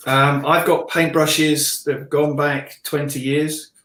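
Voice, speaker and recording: A man's voice: fairly high pitch, flat delivery, slow, a bit bright. A middle-aged man chatting casually as a guest, rambling and jokey, talking about his work as an illustrator. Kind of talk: casual chat. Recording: roomy, echoey sound.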